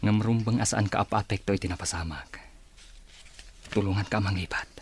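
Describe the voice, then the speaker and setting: Man acting a low, tender Ilocano consoler. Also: steady tone, brisk, slight background noise, lightly acted. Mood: tender, consoling. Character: soft-spoken, tender man consoling a heartbroken woman. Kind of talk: Ilocano radio drama acting.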